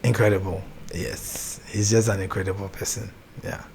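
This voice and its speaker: Young ghanaian guy, lowish voice, soft and laughy, bit of hiss behind. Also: little pitch movement, normal speed, gravelly, poor audio. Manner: soft-spoken, laughs easily, hesitant restarts. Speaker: gospel artist guest. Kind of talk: casual interview chat.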